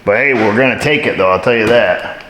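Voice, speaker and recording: A man's voice: lowish voice, a little fast. A middle-aged man, an auto mechanic, explaining a repair in a casual how-to way with wry, dry, self-mocking asides while he works. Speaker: auto mechanic, shop owner. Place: indoor auto repair shop garage. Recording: echoey garage with shop noise.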